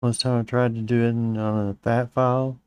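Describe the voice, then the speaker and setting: A middle-aged man with a slightly raspy voice, hosting a casual live-streamed Linux tutorial, rambling with fillers and restarts. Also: mid-range voice, flat delivery, brisk, dry recording. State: slightly raspy, clearing his throat.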